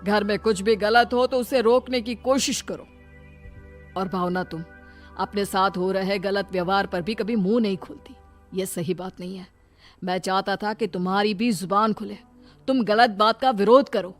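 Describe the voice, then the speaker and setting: A woman's voice: medium pitch, steady tone, moderate pace, lightly acted. A woman voicing an older, paternal father-in-law who advises patiently in a cartoon moral story. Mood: lecturing. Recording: music bed behind voice.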